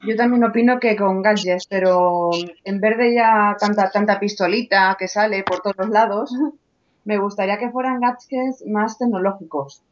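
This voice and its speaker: A woman giving her opinions in a casual discussion in a chatty, relaxed way. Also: medium pitch, normal speed. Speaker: guest. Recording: muffled lo-fi audio.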